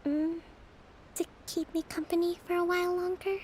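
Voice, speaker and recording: An actress voicing a shy, earnest young female divine priestess in a video game, speaking softly and gently. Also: very high voice, level tone, normal speed, slight background noise. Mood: gentle, reflective. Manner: soft, gentle and reflective. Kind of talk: game character dialogue.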